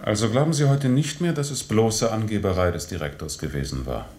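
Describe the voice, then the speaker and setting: An actor playing a sober, probing questioner in a radio drama, terse and insistent with the witness. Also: male voice, lowish voice, lively intonation, fairly quick, a little mellow, lightly acted. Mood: sober scrutiny.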